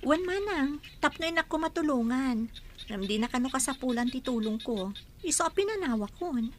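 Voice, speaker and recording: A woman's voice: high voice, expressive, normal speed, slight background noise. An actress playing a cheeky but helpful young woman who teases and chats in a radio drama. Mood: earnest. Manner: teasing, chatty.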